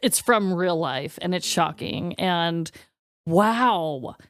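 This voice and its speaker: A woman's voice: lowish voice, expressive, slow, fairly bright tone, no room sound, clean studio sound. A woman co-hosting a podcast, chatting informally and reacting expressively and agreeably. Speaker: podcast co-host and character performer. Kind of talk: casual, reactive podcast chat.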